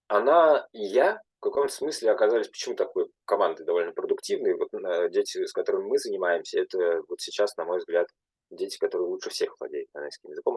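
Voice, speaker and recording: A young man, a language teacher, speaking at a panel in a halting way with many filler sounds and restarts. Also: low-pitched, breathy edge, no echo, low-quality recording. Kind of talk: panel talk.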